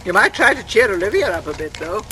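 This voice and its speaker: A woman's voice: mid-range voice, sing-song, fast talker, natural voice. A woman playing a fussy, indulgent, easily flustered old lady in a 1940s radio thriller. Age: older. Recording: noisy, distant vintage radio recording.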